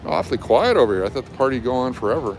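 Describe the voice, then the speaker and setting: A man's voice: mid-range voice, expressive, very fast. A man hosting a live walking tour, talking casually and informally as he explains things. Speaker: livestream host and street tour guide. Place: outdoors on city streets at night. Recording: noisy outdoor city street with traffic.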